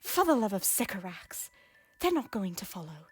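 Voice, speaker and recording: A woman voicing a guilt-ridden former queen who pleads in breathy, often whispered lines. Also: mid-range voice, big pitch swings, normal speed, soft background music. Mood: pleading. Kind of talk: fan audio drama acting.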